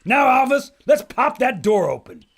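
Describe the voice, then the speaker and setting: An actor playing a brash, wisecracking adventurer filmmaker with raspy, slangy tough-guy talk. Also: very high-pitched, very animated, moderate pace, a bit bright, exaggerated voice.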